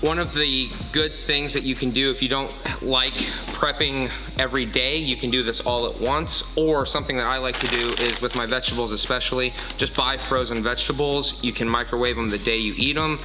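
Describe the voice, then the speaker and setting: A man's voice: medium pitch, steady tone, a little fast, few pauses, music in the background. Upbeat man in his thirties coaching. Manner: upbeat, listing tips in short phrases. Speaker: fitness coach. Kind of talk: casual instructional talk. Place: gym, indoors, at a counter.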